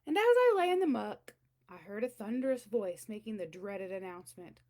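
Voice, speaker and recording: A woman's voice: mid-range voice, animated, normal speed, a little mellow, close to an everyday voice. A woman voicing a boastful big cheese learning humility, telling its own picture-book story in a theatrical, emphatic way. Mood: dejected. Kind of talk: first-person picture-book read-aloud.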